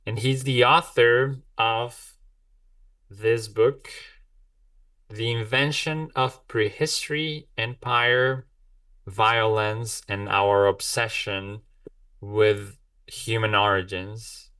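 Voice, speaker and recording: A man hosting an interview podcast, talking warmly and repeating words as he searches for phrasing. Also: lowish voice, fairly even intonation, slow, clean tone, no room sound, far from the mic. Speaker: podcast host.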